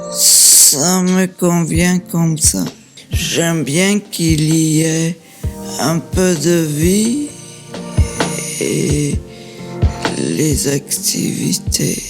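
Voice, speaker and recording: A woman in her forties giving a documentary testimony in slow, halting phrases. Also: low voice. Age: 40s. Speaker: interviewee.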